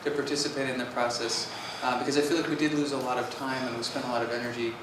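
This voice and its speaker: A man on a conference panel who talks in a measured, reflective way and uses steady fillers. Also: mid-range voice. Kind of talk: panel discussion. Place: conference hall stage. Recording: echoey hall, from far off, with clear background noise.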